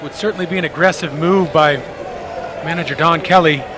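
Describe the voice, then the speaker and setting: A man's voice: a little high, a little fast, crowd noise, background noise. A man with an American accent giving conversational color commentary on live baseball, explaining tactics in long running sentences. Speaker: radio baseball color analyst. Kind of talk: live analytical sports commentary.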